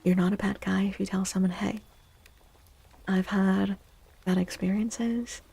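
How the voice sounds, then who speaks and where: A woman hosts an ASMR tarot stream in a soft, near-whispered voice. Her delivery is slow and gentle, with a dark, relaxed tone and plenty of creak at the edges. She sounds reassuring and speaks close to the microphone. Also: mid-range voice. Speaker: livestream host and tarot reader.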